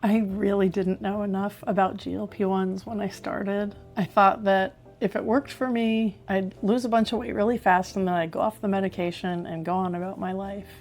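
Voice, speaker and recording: Hoarse, mellow-voiced woman vlogger. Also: mid-range voice, flat delivery, moderate pace, few pauses, soft background music. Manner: candid, chatty, self-reflective. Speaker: health vlogger. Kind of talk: personal vlog to camera.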